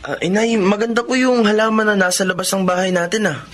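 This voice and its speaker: A man's voice: sits high, steady tone, fast, a bit bright, a little hiss or hum. A Filipino man playing a conscientious, respectful young adult son in a radio drama, polite and earnest. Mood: dismayed.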